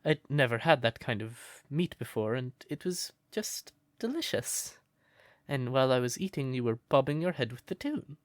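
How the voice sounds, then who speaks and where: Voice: medium pitch, expressive, normal speed, no room sound, lightly acted. A performer voicing a shy, smitten crow turned human in an intimate comfort roleplay, hesitant and stammering, naively earnest. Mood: flustered, shy.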